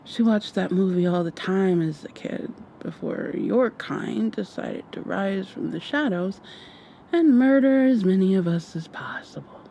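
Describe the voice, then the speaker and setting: Woman acting a sarcastic, drowsy captive woman, slow-talking. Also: medium pitch, gravelly, a little hiss or hum. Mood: sardonic. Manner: sardonic, deadpan, drowsy. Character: sarcastic, weary human woman held captive by vampires. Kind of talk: ASMR roleplay.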